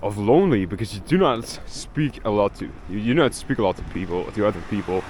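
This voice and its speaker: A man's voice: on the low side, expressive, fast talker. A young man from Belgium, rambling casually as he vlogs his travels, swearing now and then. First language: Dutch. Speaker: travel vlogger. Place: outdoors in a city street. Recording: faint street noise outdoors.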